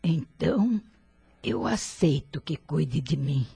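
A creaky, ailing old mother. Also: sits low, very animated, slow pace, exaggerated voice. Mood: tender. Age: older. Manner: soft, imploring. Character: ailing elderly mother, gentle and pleading. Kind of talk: radio drama acting.

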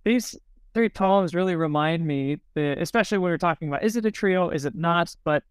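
A man's voice: fairly high pitch, fairly quick, smooth, dry recording, clean studio sound. A man co-hosting a poetry podcast as an editor, discussing writing in a casual, conversational way.

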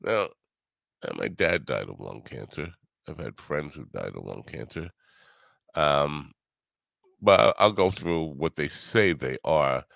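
An older man hosting his own podcast in a rambling solo monologue, grumbly and low, wry and self-mocking. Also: animated, takes it slowly, gravelly, fairly bright tone, no echo, studio quality. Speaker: podcast host.

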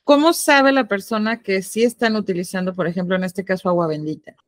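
A woman's voice: medium pitch, a little fast, dry recording. A young woman with a Mexican accent co-hosting a casual podcast, explaining ideas and posing questions to her partner. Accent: Mexican Spanish. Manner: inquisitive, explains and poses questions, laughs easily. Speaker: podcast co-host. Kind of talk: casual chat.

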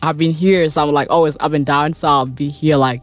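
A woman in her twenties from South Sudan, raised in Australia, answering interview questions in a soft, hesitant way with many fillers. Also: low-pitched, steady tone, fairly quick, faint music behind. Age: 20s. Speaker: model and guest. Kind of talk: casual interview chat.

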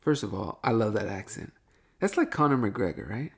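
A man's voice: fairly low pitch, lively intonation, normal speed, gravelly. A man co-hosting a casual podcast, chatty and enthusiastic, often correcting himself mid-sentence. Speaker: podcast co-host.

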